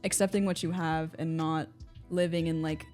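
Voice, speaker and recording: A woman's voice: low voice, flat delivery, moderate pace, a little mellow, soft background music. A woman studio guest chatting casually, with lots of "like" and "um".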